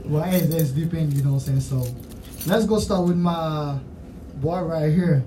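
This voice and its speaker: A man's voice: a little high, steady tone, moderate pace, far from the mic. A man with a Haitian accent interviewing musicians in casual, rambling chat, full of "you know what I'm saying", recorded off-mic with faint noise behind.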